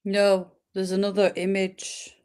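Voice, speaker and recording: A woman's voice: mid-range voice, monotone, unhurried, a little mellow. A woman co-hosting a casual live stream, hesitant and trailing off mid-sentence.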